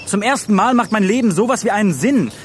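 High-pitched zealous teenage boy. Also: animated, slight background noise. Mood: earnest, subdued. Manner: officious, drawn-out slogan-like phrasing. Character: zealous teenage boy, self-appointed bodyguard turning bullying recruiter. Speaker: actor. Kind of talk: German radio play acting.

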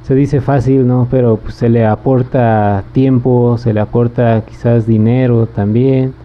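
A man's voice: mid-range voice, steady tone, unhurried, a little hiss or hum. A man hosting a radio interview and making announcements. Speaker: radio host. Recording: thin phone-like sound with music.

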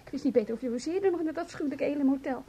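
A woman acts out an apologetic estranged wife in a Dutch radio play. Her voice is strikingly high and quick, stumbling as she pleads and defends herself. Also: warm tone, a little hiss or hum, lightly acted. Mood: flustered, apologetic. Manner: halting, pleading.

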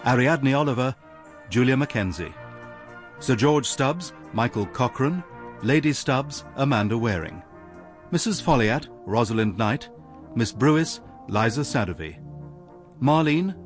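A man with a British accent reading formal scripted radio drama credits in a measured, clearly enunciated manner. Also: mid-range voice, animated, soft background music. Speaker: radio continuity announcer.

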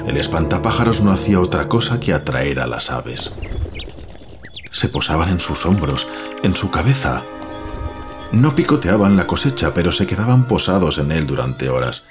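A farmer and devoted father with a very deep, bottomless register. He tells his tale deliberately, as in a horror audio drama, with music clearly playing behind him. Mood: puzzled. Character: farmer father, puzzled, fond of his daughter.

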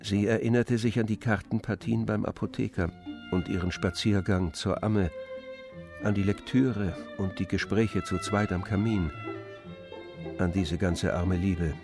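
A man's voice: lowish voice, steady tone, unhurried. A man narrating a literary radio play in a scripted, storytelling style. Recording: music behind the voice. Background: music.

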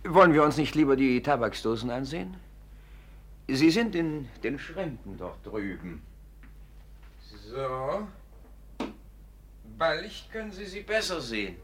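A man playing an irritable, dryly sarcastic English gentleman in a 1950s German radio play, stiffly courteous and clipped. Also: on the high side, big pitch swings, unhurried, pauses often, a crowd in the background. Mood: curt. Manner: clipped, dry, formal.

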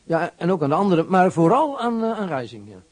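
A man's voice: high voice, big pitch swings, quick pace, a little mellow. An actor playing a hesitant male police officer reporting a search.

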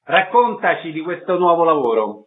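A man's voice: on the high side, big pitch swings, normal speed, husky. A man hosting a radio interview programme, introducing guests and asking for details. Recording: dull lo-fi radio audio.

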